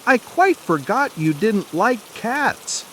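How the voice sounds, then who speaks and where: A man's voice: high voice, sing-song, slow pace, fairly bright tone, slight background noise, voice pushed up, full character voice. A man voicing a polite, eager, chatty little girl in a storybook reading, soothing and apologetic. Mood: flustered, apologetic. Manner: soothing, hesitant, gushing.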